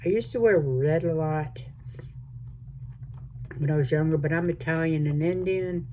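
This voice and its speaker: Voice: medium pitch, unhurried, dark tone, muffled recording, slight background noise. An older, retired speaker with a mixed Southern American accent, chatting casually to viewers while doing a resin craft. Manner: chatty, rambling, talks to viewers as y'all. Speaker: resin crafting channel host. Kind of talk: casual crafting vlog chat.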